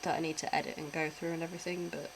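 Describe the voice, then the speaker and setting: A woman's voice: low-pitched, flat delivery, very fast, gravelly, noisy background. A young British woman chatting casually while reacting to videos on a stream, laughing often and swearing offhand. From Britain.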